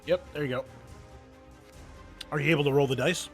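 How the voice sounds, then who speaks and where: A man's voice: a little high, normal speed, fairly bright tone, soft background music. A man acting as game master, narrating and chatting in an informal, sweary way. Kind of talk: casual tabletop game narration and chat.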